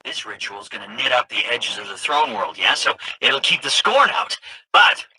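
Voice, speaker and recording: An actor playing a scrappy, sly little machine informant in a video game, talking in a casual, streetwise, wry way over radio. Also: male voice, mid-range voice, sing-song, moderate pace, natural voice. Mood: wry, conspiratorial. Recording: noisy radio transmission.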